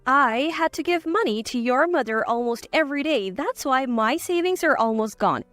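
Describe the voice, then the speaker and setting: A woman's voice: high-pitched, animated, moderate pace, a bit bright, no room sound, faint music behind. A woman voicing a cool-headed, defiant wife in a dramatized story, assertive and cutting. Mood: cool, scornful.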